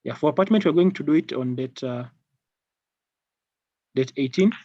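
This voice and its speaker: A man with a Kenyan accent leading a live technical product training online, speaking haltingly and repeating phrases. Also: medium pitch, animated, warm tone, no room sound. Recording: dull lo-fi online call audio.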